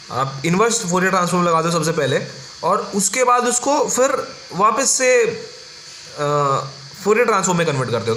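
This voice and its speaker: An Indian man gives an instructional lecture in a bright, high voice. He explains things step by step like an engineering tutor, informally checking in as he goes. The recording is roomy and echoey. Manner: informal, instructional, frequent check-words like "right" and "okay". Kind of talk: exam-focused lecture explaining block diagrams. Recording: roomy echo with faint background noise.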